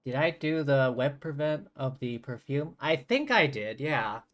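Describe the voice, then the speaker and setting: Wry game streamer with a mid-pitched voice. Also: animated, measured pace. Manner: wry, brief asides. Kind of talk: casual live gameplay commentary.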